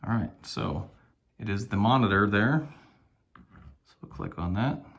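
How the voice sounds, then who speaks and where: A man's voice: lowish voice, expressive, a little slow, gravelly, a bit bright. A man giving a casual hands-on tutorial, thinking aloud in a tentative way as he demonstrates a device. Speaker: product reviewer demonstrating a device.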